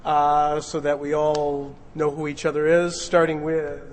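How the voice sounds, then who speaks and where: A man's voice: a little high, monotone, measured pace. Informal man addressing a group, echoey hall. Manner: informal, joking. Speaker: director leading a radio play rehearsal. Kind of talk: casual announcements to a group. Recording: noisy, echoey hall room recording.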